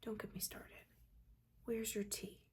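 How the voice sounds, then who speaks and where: A woman voicing a judgmental but caring witch mentor in a breathy, chatty, chiding whisper, in a soft-spoken ASMR roleplay. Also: on the high side, flat delivery, measured pace, lightly acted. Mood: defensive, scolding. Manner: chatty, chiding, casual slangy asides.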